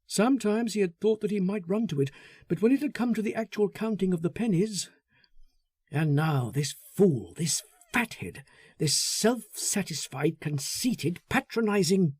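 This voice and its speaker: A man narrating a literary story as an audiobook, dramatic, deliberate and intense in his delivery. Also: sits high, expressive, a little mellow, slightly rough, no room sound, studio quality.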